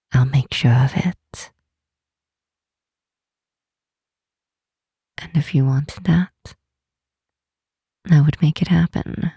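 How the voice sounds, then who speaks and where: A woman plays a powerful demon queen who is gentle, earnest and protective. Her low voice is very breathy and half-whispered, with a dark, mellow tone. She speaks slowly and tenderly, close and intimate, in an ASMR roleplay. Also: no echo, full character voice. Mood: protective, reassuring. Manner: slow, tender, solemn, with deliberate pauses. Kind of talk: ASMR roleplay, soft intimate monologue to the listener.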